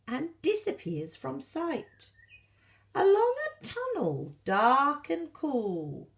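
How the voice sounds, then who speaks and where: Animated woman reading a storybook, unhurried. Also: a little high. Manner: animated, with dramatic pauses and emphasis. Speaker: storybook reader. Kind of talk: expressive children's picture book read-aloud.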